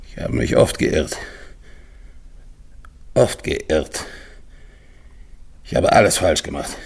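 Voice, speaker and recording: Tormented expedition leader, deep gravelly voice, slow halting delivery, repeated words, despairing, radio drama monologue. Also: male voice, heavily acted. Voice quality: husky, gravelly. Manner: halting, repeats words, swings between murmurs and desperate calls. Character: dying polar expedition leader, tormented, despairing, talking to himself. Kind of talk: German radio play monologue.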